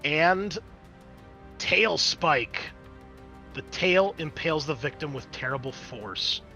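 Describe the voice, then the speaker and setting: A man acting as game master, narrating a tabletop roleplaying game in an animated, exclamatory way with casual swearing. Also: fairly high pitch, takes it slowly, gravelly, lo-fi sound, soft background music.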